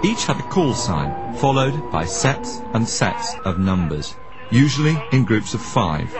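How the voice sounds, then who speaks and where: Lively low-voiced man presenting a documentary over music. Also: unhurried, low-quality recording. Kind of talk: scripted radio documentary narration and interview questions.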